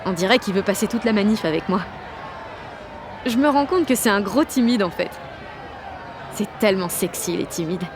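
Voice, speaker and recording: A woman's voice: medium pitch, animated, normal speed, pauses often, crowd noise, noisy background, lightly acted. An actress playing a slangy, self-conscious sixteen-year-old girl in a French audio drama, gushing and mocking herself as she narrates. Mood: wide-eyed wonder. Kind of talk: audio drama, slangy first-person inner narration and dialogue.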